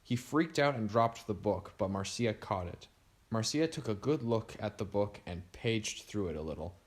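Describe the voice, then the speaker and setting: A man narrating a storybook aloud in a steady reading voice. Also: fairly low pitch, normal speed.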